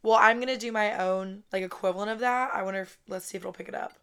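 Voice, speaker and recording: A woman in her twenties hosting her own podcast, talking casually with a raspy voice after a weekend wedding, swearing often and saying "like" constantly. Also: mid-range voice, steady tone, quick pace, a bit bright. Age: 20s. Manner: chatty, swears a lot, says "like" constantly. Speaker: podcast host. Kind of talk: casual solo podcast chat. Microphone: podcast microphone, close.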